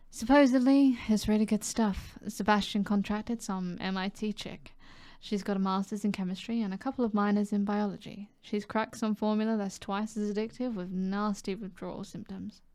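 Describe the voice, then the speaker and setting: A woman with a British accent voicing a seductive, self-assured mob boss in an ASMR roleplay, soft and flirtatious, often dropping to a whisper. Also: mid-range voice, dry recording, clean studio sound, natural voice. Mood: smug, businesslike. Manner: flirtatious, teasing, unhurried.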